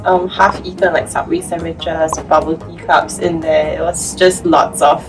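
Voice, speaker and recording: A woman's voice: low voice, flat delivery, a little slow. A woman who works at a food bank, reminiscing casually in an interview. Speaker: food bank staff member. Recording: slightly distant mic under background music. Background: background music.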